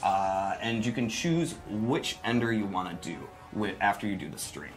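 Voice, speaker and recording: A man hosting a live stream gameplay demo with casual, excitable, self-deprecating banter. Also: fairly low pitch, normal speed, soft background music, poor audio. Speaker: game developer host demonstrating a fighting game.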